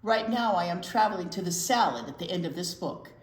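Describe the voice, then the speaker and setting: A woman's voice: lowish voice, animated, fairly quick, close to an everyday voice. A woman voicing a vain, charming little French snail in a storybook read aloud for young children, self-admiring and theatrical. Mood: vain, inviting. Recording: roomy indoor echo.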